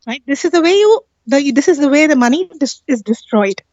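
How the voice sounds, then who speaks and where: A woman with a high voice talks through an explanation in a casual, insistent way, regularly tagging her points with questions for agreement. The audio is dry and somewhat dull, like a lo-fi call. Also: expressive, brisk, darkish tone, no echo. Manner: insistent, often seeks agreement with tag questions. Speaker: guest on a live talk stream.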